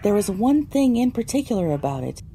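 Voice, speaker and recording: A woman's voice: mid-range voice, big pitch swings, brisk, noisy background. A woman delivering scripted, first-person narration for an audio drama.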